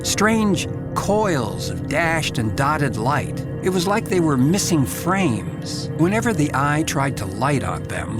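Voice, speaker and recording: An actor playing a grave, awestruck storyteller, narrating a cinematic audio drama. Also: male voice, mid-range voice. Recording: loud cinematic music underneath.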